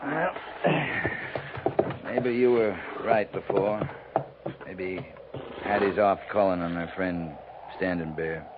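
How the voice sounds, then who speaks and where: An actor playing a weary, laconic frontier cavalry captain with dry humor in a 1950s radio western. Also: male voice, low-pitched, slow pace, pauses often. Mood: somber. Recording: tinny, hissy 1950s radio recording.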